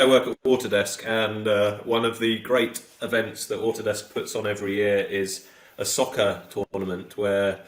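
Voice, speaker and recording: Low-voiced man in an echoey room. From south coast of England. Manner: relaxed, hesitant, many fillers and restarts. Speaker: guest. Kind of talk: casual podcast interview.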